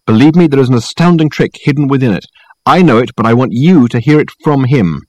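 Male narrator as imperious detective, impatient, airy, insistent, swooping animated pitch, audiobook character. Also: a little fast, breathy edge, no room sound. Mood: insistent, snappish.

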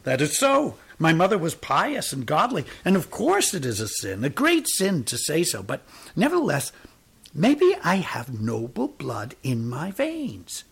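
A man reading aloud puts on a wandering beggar who is soft, drawling and sickly sweet, pious, boastful and forever sorry for himself. The voice sits high above a plain man's register and keeps a gravelly, husky grain. It is a storybook figure told with quiet fondness. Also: wildly swooping pitch, measured pace, exaggerated voice. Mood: wistful. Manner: pious, boastful, self-pitying. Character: pious sentimental male tramp, sickly sweet.

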